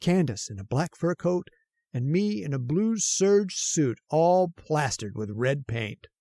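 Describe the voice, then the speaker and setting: A man's voice: a little high, big pitch swings, slow, dark tone, heavily acted. A man playing a henpecked, jittery immortal husband in an old-time radio drama, confiding his story with stammering, plaintive asides. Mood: aggrieved. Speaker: actor. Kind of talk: old-time radio horror-comedy acting, first-person narration.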